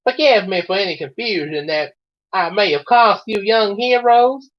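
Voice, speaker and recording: A man's voice: sits high, moderate pace, pitched above the performer's own voice, lightly acted. A man voicing a brash, matey Australian storyteller in a theatrical reading of fan fiction. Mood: animated. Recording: slightly dull, lo-fi voice recording.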